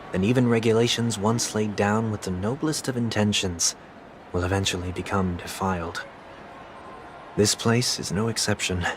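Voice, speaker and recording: An actor playing a grave, dignified and reflective gentleman in a video game, speaking in a formal, measured way. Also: low voice, noisy background, close to an everyday voice. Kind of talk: scripted video game voice acting.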